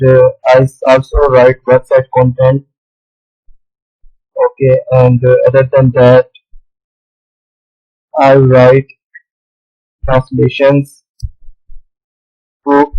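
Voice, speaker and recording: A man whose first language is Urdu, walking through a screen tutorial in a halting way, often pausing on "okay, so". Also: mid-range voice, highs cut off. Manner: halting, with many "okay, so" fillers. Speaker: tutorial host. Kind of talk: screen-recorded tutorial walkthrough.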